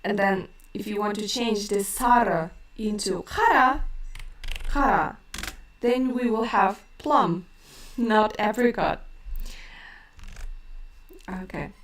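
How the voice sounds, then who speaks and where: A woman with a Kazakh accent teaching her language in a live stream, speaking slowly with many hesitant fillers while she reads chat questions. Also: medium pitch, echoey room, distant mic.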